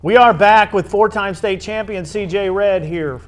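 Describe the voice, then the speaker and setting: A man hosting a conversational sports interview, feeling his way through questions in a halting manner and often rephrasing them. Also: high-pitched. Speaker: interviewer, show host.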